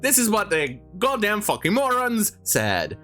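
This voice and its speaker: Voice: mid-range voice, wildly swooping pitch, measured pace, sharp tone, soft background music. A commentator rambling through a sarcastic, mocking rant, often hesitating while improvising. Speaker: commentary host.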